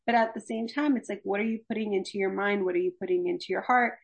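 A woman's voice: medium pitch, quick pace, gravelly, breathy edge. A young woman from Los Angeles, a gerontology faculty member, explaining health principles earnestly in a conversational interview. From Los Angeles, USA. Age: young adult.

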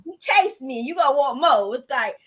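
A woman's voice: high voice, expressive, normal speed, noisy background. A woman hosting a podcast and coaching writers, talking in an effusive, enthusiastic and preacherly way. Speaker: podcast host and writing coach. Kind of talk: hosting a podcast book launch.

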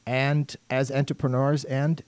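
A man with a German accent hosts a live radio talk show in a studio. He sounds upbeat and chatty and laughs often. His voice sits at an average pitch, and the sound is dry and close. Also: level tone, measured pace, a little mellow, dry recording.

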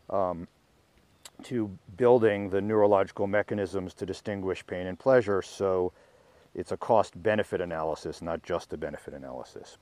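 A man reading a research article aloud in a measured, explanatory way, with informal asides and the odd self-correction. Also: on the low side, darkish tone. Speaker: podcast reader and commentator.

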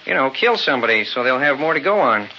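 An actor playing a concerned hotel night clerk, folksy and a little hesitant, in an old radio horror drama. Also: male voice, mid-range voice, very animated, fast. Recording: muffled, hissy old radio broadcast.

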